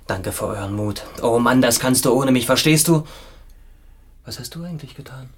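A man's voice: medium pitch, normal speed, lightly acted. An actor playing a bitter, restless man just freed from political prison, in a radio drama. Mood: bitter, sardonic. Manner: restless, repetitive, erratic between outburst and mutter.

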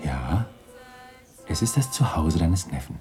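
An actor playing a grave, stern Christmas spirit in a radio play, making measured, solemn pronouncements. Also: male voice, extremely low pitch, sing-song, warm tone, faint music behind, heavily acted. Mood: grave, guiding. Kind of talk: 1960s German radio play acting.

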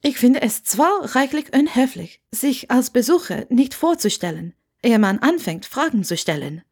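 A woman's voice: on the high side, very animated, moderate pace, darkish tone, husky, voice pushed up, exaggerated voice. Woman voicing a frank, teasing storybook witch. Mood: mildly indignant. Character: friendly, mischievous female witch, blunt and self-assured. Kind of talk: audiobook character dialogue.

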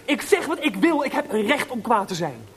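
A man acting in a radio play as an excitable, aggrieved composer short of money, stammering and exclaiming. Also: extremely high pitch, moderate pace, husky, full character voice. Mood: furious. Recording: noisy radio play recording.

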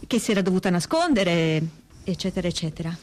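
A woman playing a troubled, introspective daughter in a theatrical testimony reading, pausing often as she thinks aloud. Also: on the low side, expressive, a little mellow, lightly acted. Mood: uneasy, searching.

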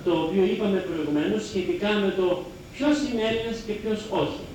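A man giving a public history lecture, explaining emphatically and often pausing to correct himself. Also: high-pitched, darkish tone. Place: lecture hall, speaking to an audience. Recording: very echoey hall, distant mic, faint noise.

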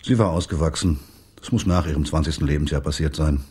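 Male police detective, crime radio play: weary cynic, gruff, rough low bass. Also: fast, darkish tone, heavily acted. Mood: sardonic, matter-of-fact. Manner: gruff, sardonic. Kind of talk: naturalistic radio play acting.

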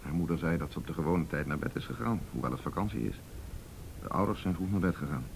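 World-weary detective, low voice, blunt and factual, radio play with faint rumbling noise. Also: male voice, animated, quick pace, gravelly, full character voice. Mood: matter-of-fact. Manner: blunt, matter-of-fact. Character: blunt, world-weary male police detective briefing a colleague. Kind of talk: radio drama acting.

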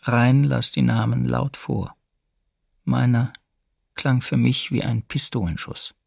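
An actor playing a camp survivor who soberly gives first-person testimony in a documentary radio drama. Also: male voice, mid-range voice, level tone, slow, creaky, darkish tone, slightly rough, no room sound, clean studio sound, heavily acted. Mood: grave recollection. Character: male camp survivor soberly recounting his ordeal.

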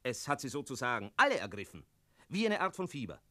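A talking tomcat telling the tale in a radio drama. The voice is bright and crisp-edged in a dry studio sound, wry and chatty as it confides in the listener. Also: male voice, medium pitch, huge pitch swings, quick pace, no room sound, full character voice. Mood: wry, excited. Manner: chatty, addressing the listener directly. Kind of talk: radio play narration in character.

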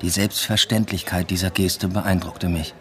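A man's voice: sits low, steady tone, normal speed, some chatter behind, natural voice. An actor playing an introspective young doctoral student who narrates his own story with restraint in a literary radio drama. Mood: reflective.

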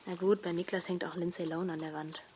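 A woman's voice: lowish voice, little pitch movement, quick pace, natural voice. An actress playing a frank, lovestruck teenage girl in a radio drama, speaking as if interviewed. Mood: dismissive. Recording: loud background noise with birdsong.

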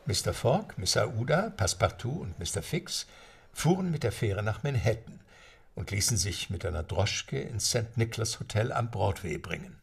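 A man's voice: lowish voice, animated, moderate pace, gravelly, slightly rough. A man narrating a scripted audio drama as storyteller.

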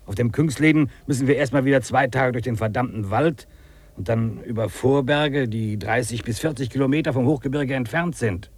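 An actor playing a determined, impatient wilderness hiker with a husky voice in 1950s radio drama dialogue. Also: male voice, mid-range voice, moderate pace, close to an everyday voice.

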